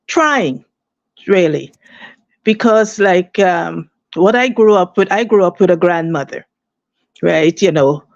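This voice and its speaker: A woman's voice: lowish voice, a little mellow, no room sound, muffled recording. An older woman from Guyana, telling her story earnestly as a guest and often saying "you know" and "eh". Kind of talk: conversational storytelling.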